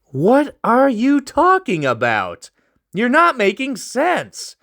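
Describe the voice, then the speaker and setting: A man's voice: medium pitch, very animated, unhurried, a bit bright. A man voicing a bitter, struggling young man who is exasperated and snappy, in a storybook reading. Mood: incredulous.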